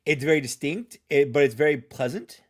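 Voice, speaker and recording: A man's voice: fairly high pitch, brisk. A man chatting as a guest on a live show, joking and laughing easily. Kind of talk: casual live chat.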